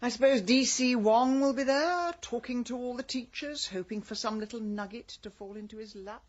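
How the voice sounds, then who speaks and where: An actress playing a sharp, imperious amateur detective in a radio drama, with an upper-class English accent and a dry, arch delivery. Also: female voice, a little high, moderate pace, dull audio, close to an everyday voice. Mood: arch, curious. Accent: English Received Pronunciation. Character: sharp, imperious, witty amateur detective woman, middle-aged.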